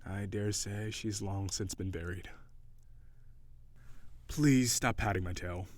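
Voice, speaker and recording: Man playing a haughty, low-voiced sea-monster prince. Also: takes it slowly, no room sound. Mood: guarded, softening. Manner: formal, archaic, prissy diction. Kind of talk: audio roleplay acting.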